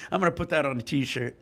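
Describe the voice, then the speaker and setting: Congested gravelly man chatting live. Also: on the high side, a bit bright. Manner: laid-back, profane, laughing often. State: congested, stuffy nose. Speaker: livestreamer. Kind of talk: casual live stream chat. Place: outdoors on a cruise ship at night. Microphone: handheld microphone.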